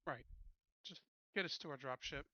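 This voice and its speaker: A man's voice: fairly high pitch, normal speed, sharp tone, dry recording, highs cut off. A man playing a tabletop roleplaying game, chatting casually in a blunt, informal way.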